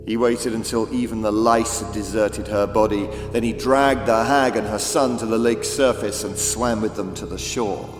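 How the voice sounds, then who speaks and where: A man narrating a children's audiobook as dramatic, deliberate, theatrical storytelling. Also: fairly low pitch, steady tone. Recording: echoey reverb with faint music.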